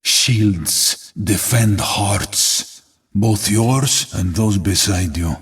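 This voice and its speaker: Battle-hardened game warrior, proud, solemn, declamatory, heavy gravel, slightly distant sound. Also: male voice, fairly low pitch, very slow, fairly bright tone, heavily acted. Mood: proud vow. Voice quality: gravelly, husky. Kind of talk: epic video game voice acting.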